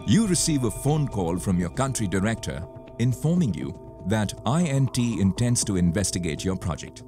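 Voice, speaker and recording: Male quiz show host, low pitch, lively intonation, measured question reading, music underneath. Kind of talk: scripted quiz show presenting.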